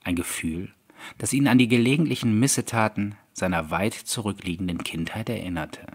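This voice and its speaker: Low-voiced man narrating a horror story. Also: normal speed. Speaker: audiobook narrator. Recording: background music under the voice. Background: music.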